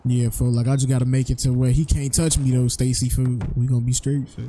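A man's voice: medium pitch, level tone, fast talker, warm tone, slight background noise. A man streaming an online role-play game, chatting casually in slangy, repetitive phrases.

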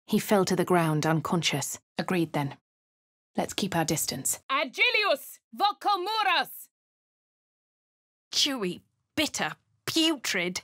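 A woman voicing a posh, plucky young adventurer with a southern English accent, speaking crisply and chanting spells. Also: mid-range voice, sing-song, slow, long pauses, no room sound. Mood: incanting. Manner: chants spell incantations loudly. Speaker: player character voice. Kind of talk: video game voice lines. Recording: dry studio recording.

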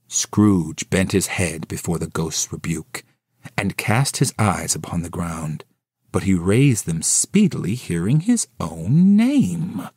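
Male audio-play narrator: low, unhurried, dry close sound, scripted storybook delivery. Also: big pitch swings, darkish tone, husky, no echo.

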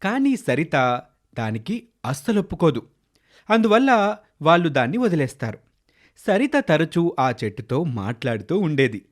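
Lively higher-pitched male story narrator. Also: moderate pace, pauses often. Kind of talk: scripted children's story narration.

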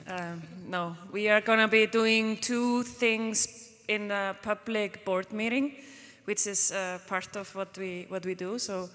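A woman from Iceland, chair of the board, opening a public meeting in a relaxed, chatty way with many fillers. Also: mid-range voice, slow pace. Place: conference hall stage. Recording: long hall reverb.

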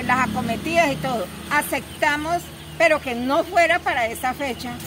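A middle-aged woman from Colombia, a local resident, complaining in a detailed, indignant way during an outdoor street interview. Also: high voice. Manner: indignant, detailed, gestures while talking. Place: outdoors on a busy town street. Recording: street noise and traffic behind.

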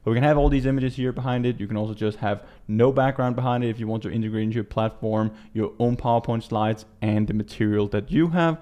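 A man in his twenties from Denmark with a Danish accent, giving a casual software walkthrough as a native Danish speaker. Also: mid-range voice, quick pace, few pauses. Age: 20s. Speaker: tutorial presenter. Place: small indoor room.

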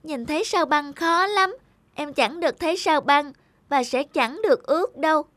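A woman's voice: high-pitched, moderate pace, fairly bright tone, dry recording. A woman voicing a small, affectionate little sister in a children's cartoon, with a childlike, pleading manner. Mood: disappointed. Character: small injured little girl, sweet and affectionate younger sister.

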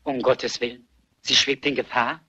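An actor playing a timid, apologetic, easily flustered gentleman in a German radio mystery play. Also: medium pitch, level tone, normal speed, husky. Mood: alarmed. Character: timid, fretful gentleman friend of the sleuth. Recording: muffled, noisy radio play recording.

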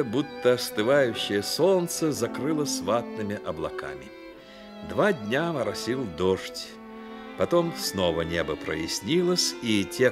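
A man narrating a Soviet radio play as a grave, measured storyteller. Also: on the low side. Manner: measured, literary delivery. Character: grave male storyteller of a childhood tale.

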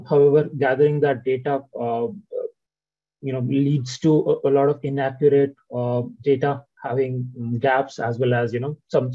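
Man presenting, mellow voice, filler-heavy. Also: medium pitch, muffled recording. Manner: frequent "uh" and "right?" tags. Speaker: senior product manager presenting. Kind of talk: webinar product presentation. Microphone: video call.

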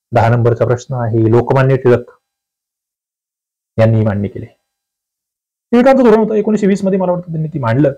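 Male teacher, mid-range pitch, soft-edged warm tone, question-and-answer lecture, repeats key points. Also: poor audio. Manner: instructive, repeats key phrases, poses questions to learners. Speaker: exam-prep teacher. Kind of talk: quiz walkthrough lecture, reading questions and explaining answers.

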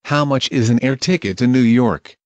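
A man reads short English travel phrases in a measured, plain voice, one at a time for learners. His pitch is ordinary for a man. The audio is dry and a little dull, as if the highs were trimmed. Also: a little mellow, no room sound. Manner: measured, plain, each phrase read alone. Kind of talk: slow clear phrase reading for learners.

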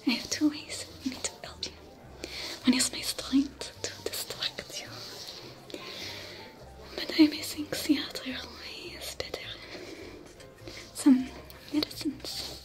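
A woman voicing a female alien smuggler with a French accent, whispering gently in an ASMR roleplay. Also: high voice, fairly even intonation, over music, low-quality recording. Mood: soothing. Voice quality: whispery, soft-spoken. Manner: slow, soothing, caring.

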